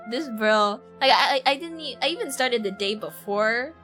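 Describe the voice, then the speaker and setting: Higher-voiced young woman chatting on stream. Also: very animated, unhurried, fairly bright tone. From Ireland. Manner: informal, slangy, chatty, self-deprecating. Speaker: game streamer. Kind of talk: casual live stream chat. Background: game music.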